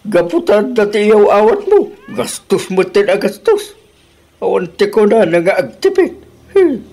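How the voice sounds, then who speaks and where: High-pitched, animated old Ilocano father. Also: measured pace, full character voice. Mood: admonishing. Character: older father-in-law, nosy, admonishing, talkative. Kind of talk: Ilocano radio drama acting.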